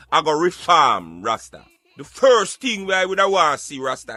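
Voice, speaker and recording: Jamaican male, forceful and rhetorical opinion talker, close dry sound, faint music underneath. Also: fairly high pitch, dry recording. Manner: emphatic, rhetorical, preachy. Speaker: radio show host. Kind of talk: impassioned opinionated talk in Patois.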